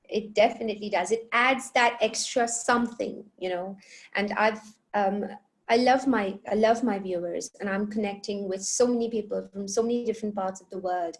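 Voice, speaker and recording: The woman you'd hear running an online chat show, medium-pitched, chatting to viewers and agreeing readily with her guest. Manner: affirming, chatty. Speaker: interview show host. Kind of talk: conversational online interview.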